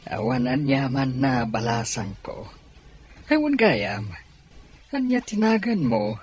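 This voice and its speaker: A man playing a kind, fatherly gentleman with a gentle, reassuring manner in an audio drama. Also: mid-range voice, big pitch swings, takes it slowly, a little hiss or hum.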